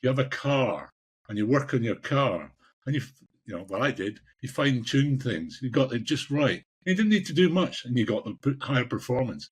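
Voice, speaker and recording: A Scottish man in his sixties with a husky voice, answering interview questions in a passionate, emphatic way and repeating phrases for emphasis. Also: medium pitch, animated, normal speed. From Scotland. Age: 60s. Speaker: executive coach, podcast guest.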